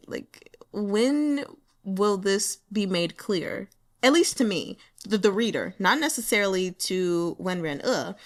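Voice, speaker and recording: A young woman chatting casually about a novel she is reading, animated, laughing often and acting out her own reactions. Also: medium pitch. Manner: carefully spells out a Mandarin phrase syllable by syllable. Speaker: audiobook reader sharing chapter notes. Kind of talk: casual chat.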